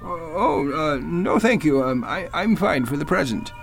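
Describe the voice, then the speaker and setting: A man's voice: fairly high pitch, expressive, a little slow, creaky, faint music behind. A man playing a courteous, slightly forgetful elderly judge in a radio drama, speaking in a hesitant, courtly way. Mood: hesitant, affable. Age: older. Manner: hesitant, courtly, chuckling speech. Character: genial elderly judge, courteous, mildly forgetful old gentleman. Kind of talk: golden-age radio drama acting.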